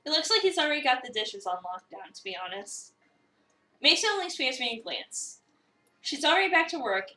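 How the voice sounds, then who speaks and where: A woman reading a visual novel as an eager, awkward new cafe employee, chatty, expressive and self-deprecating. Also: a little high. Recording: roomy, a bit far from the mic.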